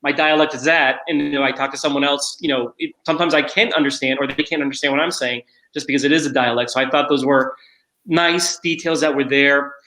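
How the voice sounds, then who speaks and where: A man's voice: mid-range voice, fairly even intonation, a little fast, sharp tone, roomy sound, low-quality recording. A man moderating a film Q&A casually, in a rambling way with lots of repeated filler phrases. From Miami area, Cuban American upbringing. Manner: rambling, repeats "you know". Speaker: film festival director moderating a Q&A. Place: indoor room, virtual Q&A.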